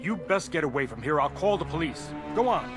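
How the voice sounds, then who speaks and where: A man's voice: a little high, expressive, a little fast, over loud music, close to an everyday voice. An actor playing a stern, indignant, dismissive club doorman of a bygone era in a radio drama. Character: gruff, indignant old-fashioned club doorman.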